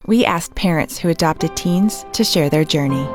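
Calm, low-voiced woman announcer. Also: normal speed, over music. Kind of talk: public service ad narration.

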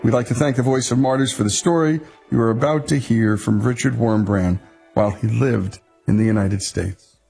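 A man reading a brisk, matter-of-fact scripted introduction. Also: medium pitch, fairly even intonation, creaky, dark tone, slightly rough, soft background music. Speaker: announcer.

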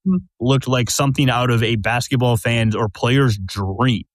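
A man's voice: medium pitch, measured pace, no room sound, studio quality. Easygoing podcast host man. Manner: conversational, steering questions, filler-heavy. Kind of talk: casual chat.

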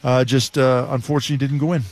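A man's voice: medium pitch, flat delivery, fast, a little mellow. An older man giving rambling postgame basketball analysis and restarting his sentences often.

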